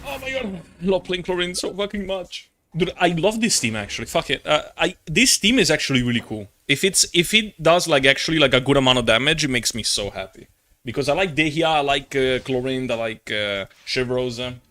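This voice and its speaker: A man's voice: fairly high pitch, animated, brisk, pushed and bright. A young man chatting casually on a gaming live stream, rambling and slangy, playfully exasperated and prone to swearing. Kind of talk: casual live-stream chat while playing.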